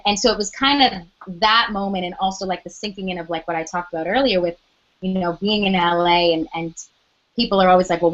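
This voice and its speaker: A young adult woman with a loud speaking voice, chatting animatedly as an interview guest, full of "like" and quoted asides. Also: fairly low pitch, highs cut off. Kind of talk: casual interview chat.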